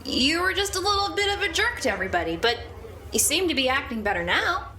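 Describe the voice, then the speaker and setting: A woman's voice: high voice, big pitch swings, fast, pushed and bright, a little hiss or hum, heavily acted. A woman role-playing a frank, encouraging female crewmate in a tabletop sci-fi game, blunt but reassuring. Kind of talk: improvised tabletop role-play.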